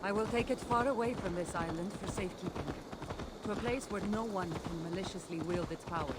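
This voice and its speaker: A woman plays a seasoned adventuring heroine from a video game. She is wry and teasing, with a solemn sense of duty beneath it. Her voice sits at a middle pitch, with clearly audible ambient noise behind it. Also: brisk, close to an everyday voice. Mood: earnest, measured. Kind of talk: video game character dialogue.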